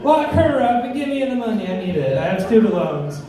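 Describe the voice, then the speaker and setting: A man's voice: high-pitched, sing-song, fairly quick, a crowd in the background. A man in his thirties doing a crude, self-deprecating stand-up set. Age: 30s. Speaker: stand-up comic. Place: comedy club stage. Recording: echoey club from far off, noisy.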